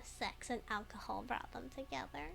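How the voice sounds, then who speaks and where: A woman's voice: fairly high pitch, normal speed, gravelly, a bit bright. A woman commenting casually on a video game, giggling often and hesitating a lot. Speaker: game streamer. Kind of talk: casual let's play commentary.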